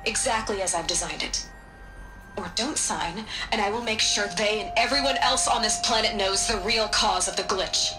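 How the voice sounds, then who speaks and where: A woman voices a middle-aged scientist in a game cutscene, blunt and commanding. She cuts straight to the point and gives no ground. Her pitch sits in a middling range. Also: normal speed, over music, close to an everyday voice, low-quality recording. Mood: stern.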